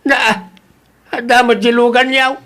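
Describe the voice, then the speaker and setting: A man's voice: very high voice, little pitch movement, a little slow, pushed and bright, heavily acted. A man playing an old father in an audio drama, nosy and complaining, with grumbling exclamations.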